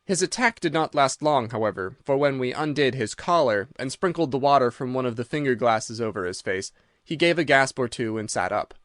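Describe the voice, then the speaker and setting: A man narrating a scripted mystery story in a measured, formal and dramatic storytelling manner. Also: mid-range voice, lively intonation, fairly bright tone, dry recording, studio quality.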